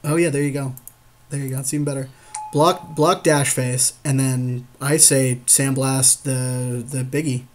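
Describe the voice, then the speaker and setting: A man's voice: medium pitch, little pitch movement, unhurried, a little hiss or hum. A man casually commenting on a game with a partner, slangy and repeating phrases for emphasis. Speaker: podcast co-host and card game player.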